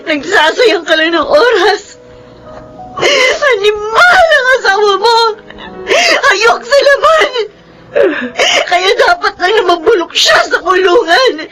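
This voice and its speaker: A woman's voice: very high-pitched, animated, takes it slowly, sharp tone, exaggerated voice, rough recording. An actress playing a distressed, emotional, pleading woman in a melodramatic Filipino drama, with music faintly behind her. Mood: grieving, bitter.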